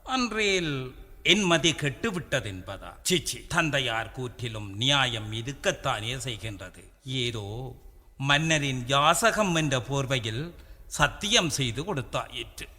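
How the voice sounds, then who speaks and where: A man with a Sri Lankan Tamil accent playing an anguished, fatherly royal elder in a radio drama, speaking in solemn, declamatory, drawn-out phrases. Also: medium pitch, very animated, sharp tone. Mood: brooding. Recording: long hall-like reverb.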